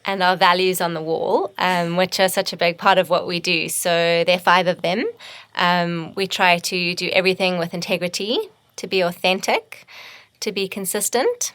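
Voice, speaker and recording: A woman from Zimbabwe with a somewhat low voice, co-hosting a casual podcast. She talks in a relaxed way, with ums and repeated words. The recording is dry and close. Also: dry recording. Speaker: podcast co-host, agency co-founder.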